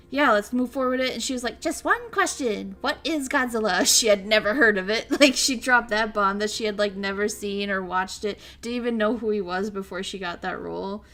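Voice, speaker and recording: A woman's voice: fairly high pitch, a bit bright. A young woman streaming a casual chat, animatedly retelling stories, laughing often and answering her chat. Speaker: VTuber streamer.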